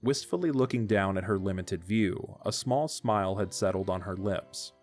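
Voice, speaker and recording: A man narrating an audio drama in a measured storytelling delivery. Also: lowish voice, darkish tone, soft background music.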